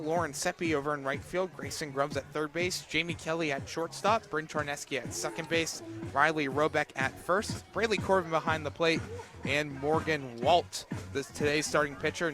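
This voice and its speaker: High-pitched man calling softball play-by-play. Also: moderate pace, over music. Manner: calls action in short clipped phrases. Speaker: play-by-play announcer. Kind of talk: live radio softball play-by-play commentary.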